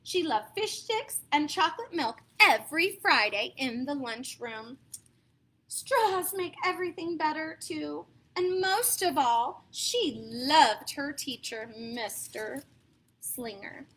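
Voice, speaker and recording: An American woman reading picture books aloud to children in an expressive, dramatic way, stepping aside to talk to her listeners. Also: high-pitched, slow pace. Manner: expressive, dramatic emphasis, asks listeners questions. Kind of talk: animated read-aloud storytelling for young children, with asides.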